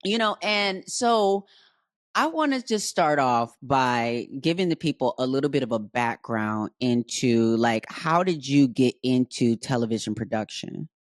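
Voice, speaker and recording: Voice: mid-range voice, lively intonation, a little slow, dry recording, studio quality. A host from the Midwest interviewing a guest in a sassy, playful way with lots of slang. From Racine, Wisconsin. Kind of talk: casual interview talk.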